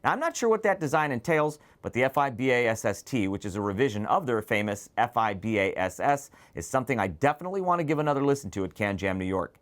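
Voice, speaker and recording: A man's voice: medium pitch, expressive, fast talker, hardly any pauses. A man hosting a product preview, speaking to viewers in a detailed, enthusiastic, semi-scripted way. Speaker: presenter of an audio gear preview show.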